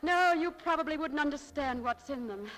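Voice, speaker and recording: A woman playing a haughty, sharp-tongued young shop clerk in a 1940s radio drama, with tart, exasperated, theatrical delivery. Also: high voice, moderate pace. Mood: mocking, indignant. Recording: vintage 1940s radio broadcast.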